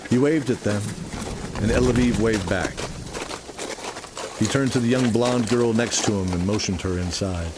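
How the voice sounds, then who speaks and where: A man's voice: on the low side, normal speed, long pauses, noisy background. A man narrating a scripted audio drama in a dramatic storytelling style, with music behind him. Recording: loud music bed behind.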